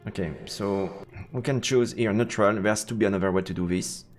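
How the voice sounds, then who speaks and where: A man's voice: on the low side, fairly quick, roomy sound, in a large hall, faint music behind. A man streaming a game, switching between let's play commentary and reading the story text aloud.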